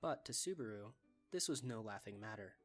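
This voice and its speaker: A man's voice: on the low side, lively intonation, fairly quick, a bit bright, faint music behind. A man reading scripted story narration as the narrator of a fan dub.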